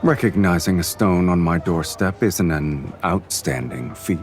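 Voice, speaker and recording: Underworld fiend, very low male voice, unhurried, teasing and cool, romantic fantasy game, faint music behind. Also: lively intonation. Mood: cool, amused.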